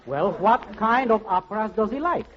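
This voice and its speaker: An actor playing a gallant, theatrical opera singer with formal grand manners in a 1940s radio comedy. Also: male voice, sits high, animated, normal speed, slight background noise. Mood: suave. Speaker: singing comic actor in a radio show. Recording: muffled vintage radio recording.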